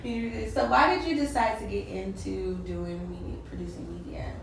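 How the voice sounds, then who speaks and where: A woman in her thirties hosts a casual interview in a chatty way, laughing often and talking in slang. Her mid-range voice sounds distant and very echoey, with loud crowd noise behind her. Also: medium pitch, steady tone, fairly quick, gravelly. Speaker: interviewer, show host. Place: noisy event mixer, echoey room.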